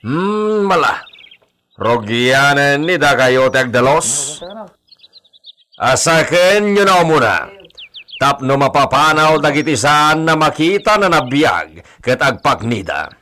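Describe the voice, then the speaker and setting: An actor playing a proud, wealthy landowner in a radio drama, with an Ilocano accent and an authoritative, declaiming manner with touches of Spanish. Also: male voice, on the high side, very animated, takes it slowly, bright, forceful tone, slight background noise, exaggerated voice. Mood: commanding. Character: proud wealthy rancher señor, commanding landowner.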